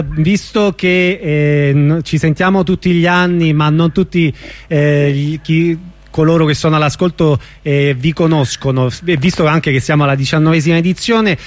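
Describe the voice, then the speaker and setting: Radio host guy, voice a bit high, dry sound, chatty interview questions. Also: no room sound.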